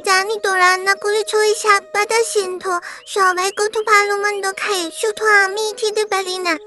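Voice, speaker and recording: Woman as very high, plaintive little village girl. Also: little pitch movement, moderate pace. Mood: sulky. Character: poor, wistful, hungry little village girl.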